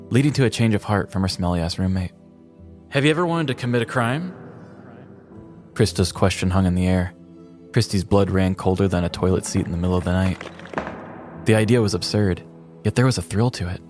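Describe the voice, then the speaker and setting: A man's voice: low voice, darkish tone. A man narrating a scripted comedic story for a podcast in a mock-dramatic way.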